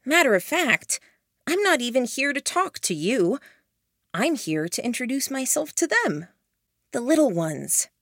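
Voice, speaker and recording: A woman voicing a cocky, scheming young man who taunts his rivals with a mocking, drawn-out delivery. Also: high voice, very animated, a bit bright, no room sound, clean studio sound, pitched above the performer's own voice, exaggerated voice. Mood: taunting. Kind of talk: audiobook character voice.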